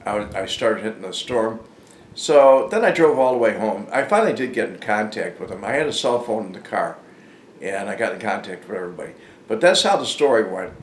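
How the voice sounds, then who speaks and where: Older man, low voice, halting casual storytelling, plain-spoken, roomy echo with faint noise. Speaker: storyteller, former informant hosting his own channel. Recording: echoey room with faint background noise.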